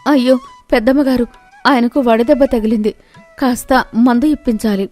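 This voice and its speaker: A woman's voice: a little high, normal speed, a little mellow, faint music behind, natural voice. A woman voicing a caring village wife in a moral story, earnest and pleading, speaking up firmly. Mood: pleading, reproachful. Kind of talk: animated moral-story voice acting.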